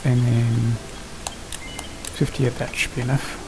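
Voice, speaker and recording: A man's voice: mid-range voice, level tone, measured pace, vocal fry, low-quality recording. A man giving casual gameplay commentary, muttering to himself in an understated way. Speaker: gaming video host. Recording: game music behind the voice. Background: video game music.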